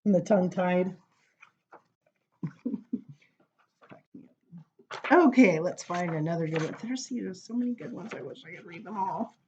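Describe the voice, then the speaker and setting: A woman reading children's poems aloud at story time, informal, with dramatic emphasis and drawn-out words. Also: fairly low pitch, animated, darkish tone, muffled recording, slight background noise. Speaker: library story time reader.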